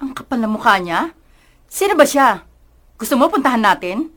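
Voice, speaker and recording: A woman's voice: on the high side, big pitch swings, moderate pace. A woman playing an indignant, fiercely protective mother in a radio drama. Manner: forceful, outraged.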